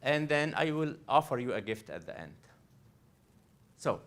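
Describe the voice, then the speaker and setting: A man's voice: mid-range voice, sing-song, unhurried, a bit bright. Earnest man from Egypt leading a workshop. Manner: earnest, repeats and restarts phrases, addresses the audience directly. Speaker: workshop presenter and coach. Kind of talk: live workshop presentation. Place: library event room.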